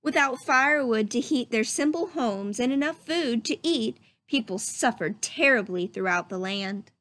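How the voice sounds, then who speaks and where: A woman reading a children's story aloud as narrator, with expressive, deliberate story-time delivery. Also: on the high side. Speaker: storybook reader.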